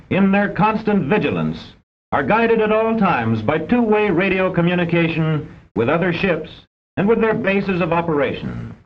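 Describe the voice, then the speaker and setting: A man with an American accent delivers formal scripted narration for a vintage instructional film, measured and didactic with precise enunciation. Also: a little high, slight background noise. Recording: dull, band-limited old film soundtrack.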